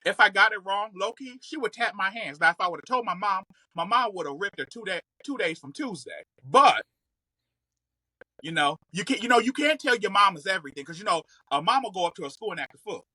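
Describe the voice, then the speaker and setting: Bright, sassy man telling stories. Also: high-pitched, expressive, a little fast, no echo. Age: 30s. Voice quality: occasional slight stutter. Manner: sassy, slangy, emphatic, comedic. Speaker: podcast guest, content creator. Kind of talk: casual, animated storytelling.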